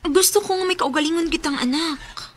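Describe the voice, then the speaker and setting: A woman's voice: very high voice, fairly quick. A woman with a Cebuano Filipino accent playing a forthright young single mother in her early twenties in a radio drama. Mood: insistent. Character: forthright 21-year-old single mother eager for love.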